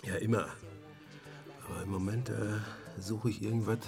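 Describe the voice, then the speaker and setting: A man acting in a German radio drama as a harried, evasive murder suspect, speaking in a halting, colloquial way. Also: fairly low pitch, steady tone, gravelly, over music. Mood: sheepish, halting. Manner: halting, colloquial, stammering and trailing off.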